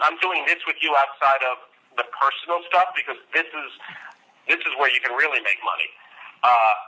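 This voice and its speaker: Man coaching over a thin, bright phone line. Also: mid-range voice. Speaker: media marketing consultant. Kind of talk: business coaching over a conference call.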